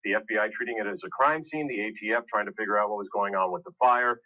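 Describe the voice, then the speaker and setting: A man's voice: on the low side, fast talker. Male news reporter, slightly muffled broadcast sound. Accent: American. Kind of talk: live breaking news report. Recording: dull, muffled broadcast audio.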